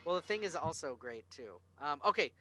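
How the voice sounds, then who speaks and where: A man's voice: fairly high pitch, big pitch swings, brisk, sharp tone, no room sound. A man hosting a casual film discussion, speaking in an emphatic, opinionated way and swearing freely. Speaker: podcast host.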